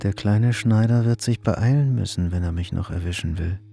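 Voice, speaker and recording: Dark, creaky, sneering hatter. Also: male voice, on the low side, moderate pace, faint music behind. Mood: gloating. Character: malicious, mocking hatter's inner voice, contemptuous and watchful. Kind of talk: radio play inner monologue.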